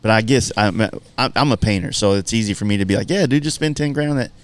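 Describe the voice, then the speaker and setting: A man's voice: lowish voice, fast talker. A man hosting a podcast, chatting casually in a slangy, laid-back way with some swearing. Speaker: podcast host.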